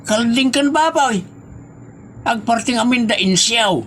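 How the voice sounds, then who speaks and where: Guy doing an old village husband, ilocano accent, excitable, pitch all over the place, homemade comedy drama, faint noise. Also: measured pace, heavily acted. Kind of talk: amateur regional comedy drama acting.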